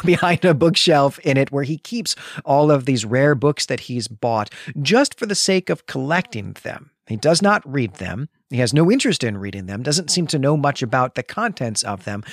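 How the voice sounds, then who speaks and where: A man's voice: medium pitch, no echo, studio quality. A man hosting a podcast, talking through a literary analysis in an emphatic, explanatory way. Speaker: podcast host. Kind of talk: literary discussion.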